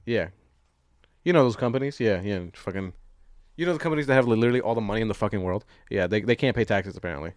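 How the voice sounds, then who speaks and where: A man's voice: on the low side, fast, dry recording. A man co-hosting a casual comedy podcast, joking sarcastically and swearing freely as he chats. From Dominican heritage. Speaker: podcast co-host. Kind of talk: casual comedic banter about movie news.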